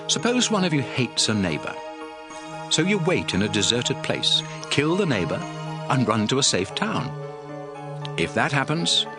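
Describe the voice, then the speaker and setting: Solemn lawgiver, animated delivery over music. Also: male voice, lowish voice. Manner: emphatic, oratorical. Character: solemn, commanding male lawgiver addressing his people. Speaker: scripture narrator playing a prophet and lawgiver. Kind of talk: dramatized audio Bible reading. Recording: music bed under the voice.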